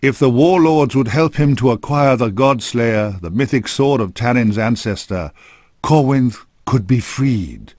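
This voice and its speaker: A man's voice: mid-range voice, expressive, measured pace, darkish tone, no room sound. A man narrating a fantasy game from a script in a solemn, formal storytelling manner, close on a studio microphone.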